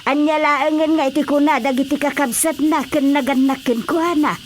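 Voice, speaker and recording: A woman's voice: high voice, steady tone, moderate pace, slight background noise, close to an everyday voice. A woman playing a reproachful, exclaiming older mother in a radio drama. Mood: indignant, scolding. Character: scolding older mother, indignant at her son.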